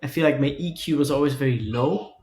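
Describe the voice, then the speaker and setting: Male podcast host, conversational, reflective and halting in delivery. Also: medium pitch, darkish tone. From Germany. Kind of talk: casual interview chat.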